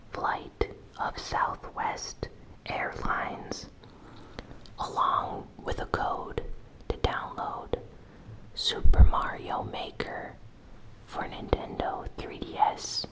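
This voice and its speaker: A woman hosting gaming news in a breathy ASMR whisper, delivering it softly and deliberately in short phrases. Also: low-quality recording. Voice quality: breathy, fully unvoiced whisper. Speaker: host of a gaming news show.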